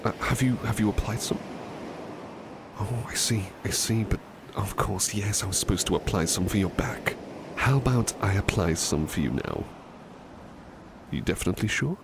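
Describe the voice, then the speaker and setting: A man playing a devoted, polite butler in a soft-spoken ASMR roleplay, gentle and soothing, often dropping into a murmur or whisper. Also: fairly low pitch, expressive, moderate pace, pauses often, noisy background. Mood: attentive, eager. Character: devoted, tender, polite male butler servant.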